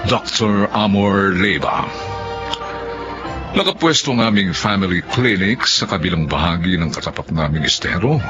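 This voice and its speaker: A family doctor telling his life story. His voice is deep and lively in intonation, with music behind it. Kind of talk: dramatized first-person narration. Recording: dull audio with music behind.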